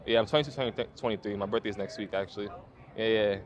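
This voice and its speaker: A man's voice: fairly low pitch, fairly even intonation, rapid-fire, crowd noise. A man in his twenties, a photographer, telling his story in a laid-back interview with lots of slang, fillers and swearing. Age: 20s. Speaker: photographer guest.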